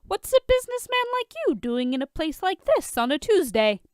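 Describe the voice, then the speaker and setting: A woman's voice: high-pitched, big pitch swings, moderate pace, no room sound, clean studio sound, voice pushed up. A woman voicing an awkward, nervy, casual male friend in a story reading.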